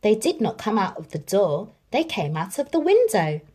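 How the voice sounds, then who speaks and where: A woman reading a children's story aloud in an expressive, dramatic storytelling manner. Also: mid-range voice, moderate pace, husky. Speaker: storybook reader.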